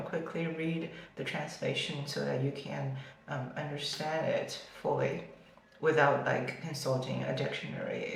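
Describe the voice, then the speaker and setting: A woman's voice: sits low, vocal fry, slight background noise. Echoey, distant young woman chatting about books. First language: Chinese. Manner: chatty with many fillers and restarts. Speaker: booktuber. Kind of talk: casual vlog. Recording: very echoey room, far from the mic.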